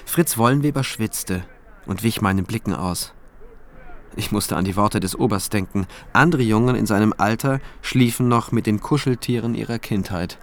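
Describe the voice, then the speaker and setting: A man's voice: on the low side, normal speed, close to an everyday voice. An actor playing a state prosecutor who narrates his case in a reflective, dry, observant first-person style for a radio drama. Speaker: narrator and lead character.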